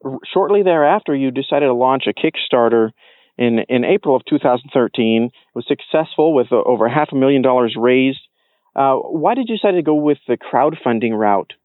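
A man's voice: mid-range voice, animated, fairly quick, no room sound, phone-quality sound. A man hosting an interview, politely putting prepared questions to his guest. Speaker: interviewer, show host.

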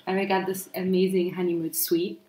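Low-pitched young woman chatting in echoey room. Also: little pitch movement, a little fast, dark tone. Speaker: partner being interviewed. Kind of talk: casual home conversation. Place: kitchen, at the table during a meal. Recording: roomy echoing home recording.

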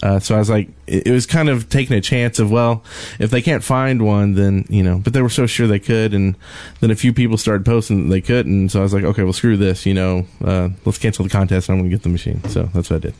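A man's voice: on the low side, fairly even intonation, fast, hardly any pauses, a little mellow, no room sound. A man co-hosting a podcast in loose, rambling, casual conversation. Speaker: podcast co-host.

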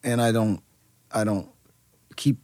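A man's voice: lowish voice, fairly even intonation, slow pace, darkish tone, no room sound. A man from Brazil, a musician, talking casually in an interview with many restarts and fillers. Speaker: musician guest.

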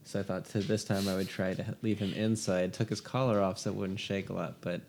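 A man's voice: low-pitched, flat delivery, fairly quick, darkish tone, a little hiss or hum. A young man hosting a podcast from home, chatting hesitantly about music with lots of fillers. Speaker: podcast host. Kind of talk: casual music chat.

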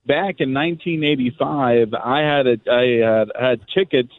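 A man's voice: medium pitch, normal speed, slight background noise. A middle-aged man calling a radio show and telling a casual personal story. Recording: tinny narrow phone line.

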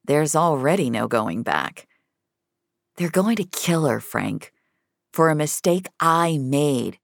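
A woman's voice: deep voice, sing-song, slow pace, gravelly, no echo, full character voice. A woman voicing a tough, terse, dry-witted woman on the run in an audio drama. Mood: grim resolve.

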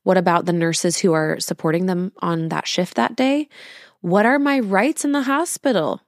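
A woman in her thirties hosting a podcast in a casual, confiding way, using emphatic rhetorical questions and drawn-out words. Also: lowish voice, dry recording, clean studio sound. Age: 30s. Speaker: podcast host.

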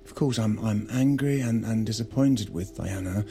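A restless, self-justifying husband in an audio drama, acted by a man. His voice is dark and gravelly at a middle pitch. He explains himself hesitantly and naturally, as an estranged partner would. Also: moderate pace, faint music behind, natural voice. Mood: conflicted, earnest.

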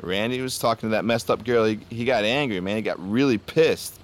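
An actor playing a laid-back, evasive young party drug dealer who talks in stoner slang, in a naturalistic audio drama. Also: male voice, on the low side, a little fast, natural voice. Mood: wary, gossipy. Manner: slangy stoner talk, peppered with bro and man. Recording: faint ambient background noise.

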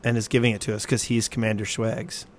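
A man's voice: on the low side, little pitch movement, fast talker. A man hosting a podcast in casual banter with others. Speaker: podcast host.

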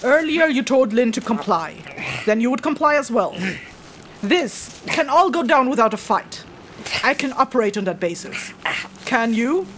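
A woman's voice: fairly high pitch, expressive, moderate pace, a bit bright, a little hiss or hum. A woman acting a stern, threatening magic-wielding interrogator in a fantasy audio drama, speaking in a commanding, formal way. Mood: firm.

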